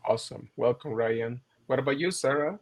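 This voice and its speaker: A man hosting a video call panel, speaking as a with many pauses and fillers. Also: medium pitch. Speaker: panel host, physician.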